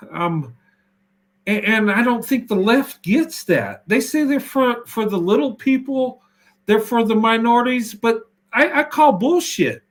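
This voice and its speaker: A man talking politics in a casual discussion, emphatic and full of stutters and restarts. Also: sits high. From United States.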